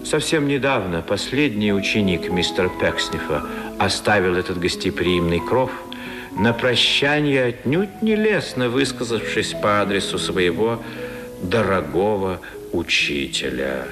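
An actor playing a wry, confiding narrator in a scripted radio drama, with theatrical storytelling delivery. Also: male voice, lowish voice, very animated, unhurried, big-room sound. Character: wry, storytelling male narrator of a Dickens tale. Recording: music behind the narration.

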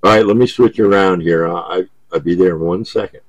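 A man's voice: sits low, brisk, no room sound. A man chatting casually over CB radio in an easygoing, folksy, hesitant way. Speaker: CB radio operator. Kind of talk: casual radio contact chat.

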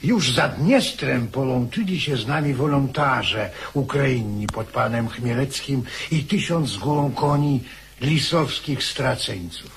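Slow-spoken soldier, recalling. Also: male voice, medium pitch, expressive, slight background noise. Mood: reminiscent. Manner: measured, storytelling. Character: soldier recalling a campaign camp. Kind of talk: radio drama monologue.

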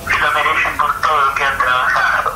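A woman's voice: low-pitched, little pitch movement, fairly quick, lightly acted. Woman acting doting Argentine mother, echoey noisy sound. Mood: delighted. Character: doting but status-anxious mother of grown children. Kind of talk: radio soap acting. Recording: echoey, very noisy radio drama recording.

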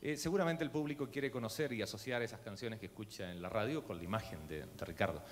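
A man's voice: fairly low pitch, quick pace, a little hiss or hum. A middle-aged man from Chile hosting a TV variety show, interviewing a guest with a handheld mic. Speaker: TV show host.

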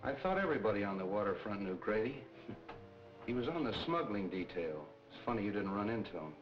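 An actor playing a tough, blunt police captain who talks in a curt, pressing way in a 1940s crime film. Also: male voice, lowish voice, very animated, fairly quick, music in the background. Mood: accusing. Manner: curt, hard-boiled, pressing. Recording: dull, noisy 1940s film soundtrack.

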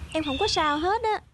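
An actress playing a devoted, gentle and anxious young village woman in a cartoon. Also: very high voice, background noise. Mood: caring, delighted. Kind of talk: cartoon dialogue acting. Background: background music.

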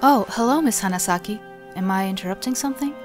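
A woman's voice: mid-range voice, fast, over music, lightly acted. Woman as an aloof, somber teenage girl. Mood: cool, polite. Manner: flat, polite, curt. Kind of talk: anime parody dub.

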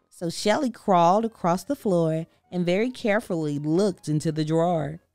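A woman reading a children's book aloud with expressive, deliberate storytelling. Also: lowish voice, no room sound, clean studio sound. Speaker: storybook reader. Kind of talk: children's read-aloud narration.

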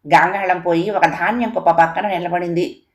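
A woman's voice: lowish voice, fairly even intonation, normal speed. A woman, narrating a scripted children's moral story as a storyteller.

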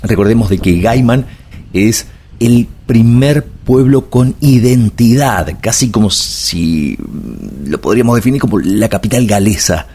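A man's voice: medium pitch, expressive, unhurried, dark tone, a little hiss or hum. A middle-aged man, narrating a road-trip travel video and explaining local sights one after another. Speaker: travel vlogger.

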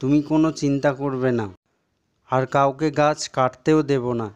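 A man's voice: mid-range voice, flat delivery, moderate pace, dull audio, lightly acted. A man playing an earnest village woodcutter, a husband, in an amateur cartoon dub. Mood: thoughtful, resolved. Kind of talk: amateur Bengali cartoon dubbing. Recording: long reverb.

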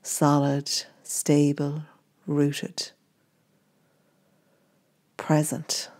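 Woman, low mellow voice, slow pace, gentle guided meditation. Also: completely flat delivery. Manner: gentle, unhurried instruction in short phrases.

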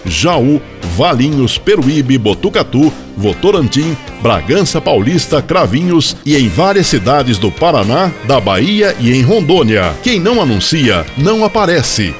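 Lively male ad announcer over music. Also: medium pitch, unhurried. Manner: promotional, lively read. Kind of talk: scripted ad voice-over.

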